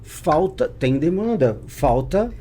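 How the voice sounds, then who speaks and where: A man's voice: on the high side, very animated, takes it slowly, warm tone. A man with a Brazilian accent chatting casually and telling anecdotes as an interview guest. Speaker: podcast guest, business owner. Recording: clear background noise, room ambience.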